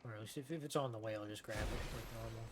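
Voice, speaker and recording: A man's voice: lowish voice, rapid-fire, very noisy. A man doing casual game playthrough commentary, thinking aloud in an informal, sweary way and reading on-screen text. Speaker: game streamer. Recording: game music behind the voice. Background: video game music.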